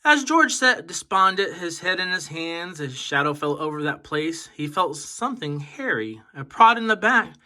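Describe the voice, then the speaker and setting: High, very bright male storybook reader. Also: very animated, moderate pace, hardly any pauses. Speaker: storybook reader on a children's channel. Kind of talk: children's picture-book read-aloud.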